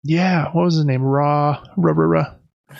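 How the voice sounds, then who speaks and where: A man's voice: on the high side, fairly even intonation, unhurried, vocal fry, no echo, muffled recording. A man co-hosting a casual gaming podcast, talking informally and musing as he thinks aloud. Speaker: podcast co-host.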